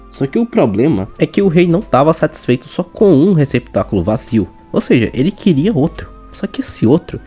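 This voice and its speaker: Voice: medium pitch, husky. A young adult with a Brazilian accent explaining story lore in a casual, chatty, slangy way. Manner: informal, slangy, animated storytelling. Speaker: video creator answering viewer questions. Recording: background music behind voice. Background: music.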